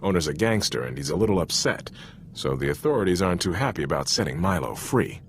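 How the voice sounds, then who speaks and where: Earnest low-voiced male soldier, lively intonation. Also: fast talker, slight background noise. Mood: concerned, urgent. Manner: conversational, sincere, informal military talk. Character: earnest, loyal male Republic soldier, frank and troubled. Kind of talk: cinematic video game voice acting.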